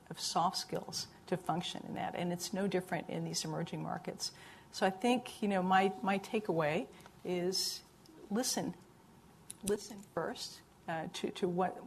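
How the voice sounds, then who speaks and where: A woman's voice: medium pitch, normal speed, a little hiss or hum. A woman answering as a panelist, earnest and thoughtful, often repeating words as she builds her point.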